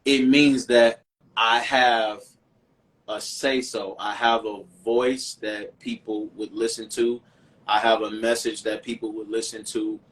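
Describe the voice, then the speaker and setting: A man's voice: on the low side, flat delivery, slow, fairly bright tone, recorded from a distance, poor audio. A man from Chicago, a singer guest in a casual interview, talking in a reflective, earnest way and often repeating words as he thinks. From Chicago, USA.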